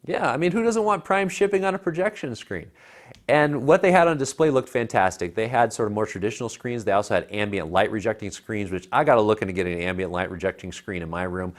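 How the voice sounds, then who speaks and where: A man's voice: fairly low pitch, quick pace. An American man in his thirties co-hosting a tech show, explaining gear in a casual, conversational way. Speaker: tech show co-host reviewing home theater gear. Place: living room at home, indoors. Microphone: lapel mic.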